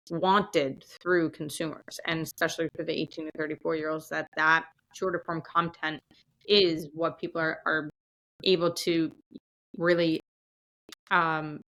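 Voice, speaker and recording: Founder guest: woman, lowish even voice, bright edge, steady with ums. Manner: measured, uses frequent um fillers. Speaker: startup founder and CEO, interview guest. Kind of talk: conversational interview answers.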